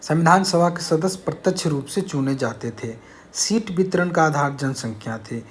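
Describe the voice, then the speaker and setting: A man's voice: fairly high pitch, expressive, normal speed, slight background noise. A man teaching an exam-prep lesson, reading out questions and explaining answers in a didactic, repetitive way. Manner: didactic, repeats key phrases.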